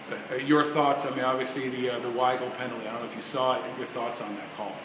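A man's voice: mid-range voice, little pitch movement, fast. Man asking rambling postgame questions. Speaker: sports reporter. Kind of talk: press conference questions. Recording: echoey room with air-conditioning hum.

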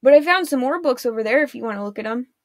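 A woman voicing a teenage boy warlock in an audiobook, speaking calmly and matter-of-factly. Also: sits high, fast talker, dry recording, studio quality. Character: teenage boy warlock Mavik, calm and wry.

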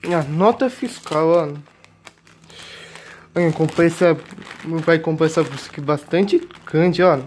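A man's voice: a little high, a little slow, long pauses, darkish tone. Young Brazilian man, noisy home recording. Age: late teens. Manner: repetitive, points things out with filler tags. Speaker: vlogger. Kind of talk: casual grocery haul chat. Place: home kitchen. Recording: clear noise, crinkling plastic bags. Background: plastic bags crinkling.

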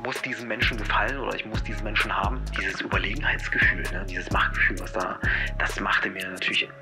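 Male interviewee, lowish monotone, halting and stammering, candid confession, prominent music bed.

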